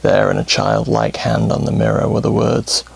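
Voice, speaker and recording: A man narrating a scripted horror bedtime story in a grave, dramatic, deliberate way, with music under his voice. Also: lowish voice, big pitch swings, creaky, breathy edge.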